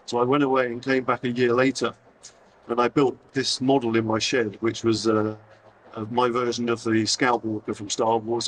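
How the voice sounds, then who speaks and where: A middle-aged man whose voice is going hoarse speaks to a convention audience in a loose, self-deprecating way, full of fillers and restarts. He sits a little back from the mic, with a faint hum of the hall around him. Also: mid-range voice, far from the mic. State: hoarse, losing his voice. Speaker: conference speaker. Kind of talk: live talk to an audience.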